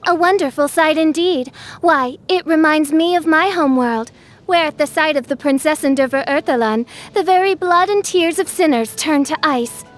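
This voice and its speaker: A woman voicing a theatrical teenage girl in a video game who styles herself a dark princess, with grandiose, archaic speech. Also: high voice, moderate pace, lightly acted. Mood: imperious, theatrical. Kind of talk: scripted video game character acting.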